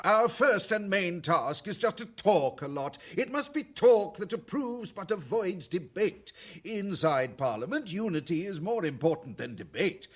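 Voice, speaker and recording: A man's voice: sits high, big pitch swings, measured pace, husky, heavily acted. A man playing a self-important parliamentary chairman in a satirical radio drama, declaiming with mock solemnity. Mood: pompous.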